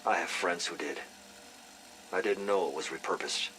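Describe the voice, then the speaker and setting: Very deep, stern intelligence agent. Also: male voice, normal speed, pushed and bright, a little hiss or hum, low-quality recording. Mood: cool. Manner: terse, businesslike. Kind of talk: cinematic video game voice acting.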